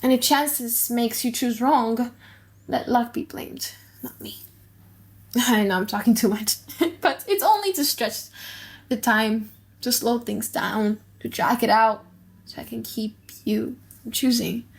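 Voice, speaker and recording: Woman acting a lovestruck young heiress, lightly high. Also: vocal fry. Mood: flustered, lovestruck. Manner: halting, sighing, giggly. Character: lovestruck young heiress, anxious, playful, rambling.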